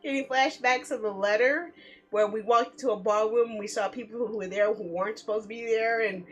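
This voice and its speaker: A woman's voice: a little high, a little fast, faint music behind, rough recording. A woman streaming a visual novel, reacting playfully with giggly asides as she reads the story. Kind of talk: lively game stream commentary, reading story text aloud.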